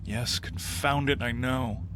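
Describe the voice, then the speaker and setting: A man's voice: mid-range voice, steady tone, slow, background noise, lightly acted. A man acting in an old-time radio style drama as an earnest, skeptical small-town doctor and husband. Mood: frustrated, resolute. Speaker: actor.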